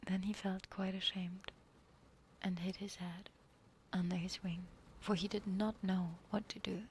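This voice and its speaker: A young woman streamer whispering softly, reading a story aloud and chatting with her viewers. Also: medium pitch, little pitch movement, a little slow, slight background noise. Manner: soft whispering, chatting with chat. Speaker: ASMR streamer.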